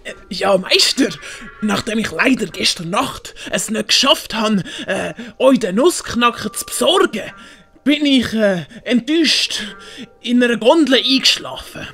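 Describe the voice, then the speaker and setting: Eager, apologetic male servant for audiobook, Swiss German accent, gravelly yet sharp, high played by a woman. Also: animated, unhurried, soft background music. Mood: sheepish. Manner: hesitant, servile. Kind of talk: hobby audiobook character acting.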